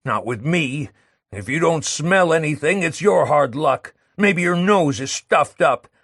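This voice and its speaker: A man voicing a bitter, disgusted man who rants against love, shouting and scornful. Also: fairly high pitch, animated, a little slow, no room sound, studio quality, heavily acted. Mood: ranting.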